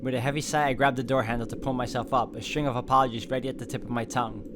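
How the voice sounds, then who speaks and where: A man's voice: medium pitch, little pitch movement, rapid-fire, background noise. A man hosting a gameplay video, reading visual novel narration aloud as a story.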